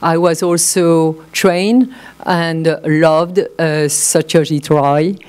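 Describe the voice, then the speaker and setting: A middle-aged woman with a French accent giving a conference talk, pausing often with fillers. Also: low-pitched, fairly even intonation, darkish tone. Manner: hesitant, many pauses and fillers. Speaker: panel speaker. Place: conference hall.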